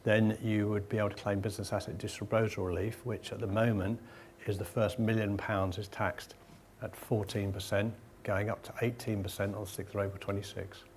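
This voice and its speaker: A man's voice: fairly low pitch, little pitch movement, fairly quick, slight background noise. A man, an accountant, answering audience questions at a seminar in a direct, plain-spoken way. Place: seminar room.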